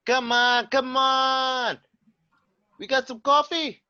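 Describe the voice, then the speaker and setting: A man's voice: extremely high pitch, fairly even intonation, slow pace, bright, forceful tone, no room sound, highs cut off, heavily acted. A man playing a hot-headed, threatening wiseguy gangster in a radio drama, foul-mouthed and aggressive. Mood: impatient, shouting. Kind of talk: crime radio drama acting and improvised banter.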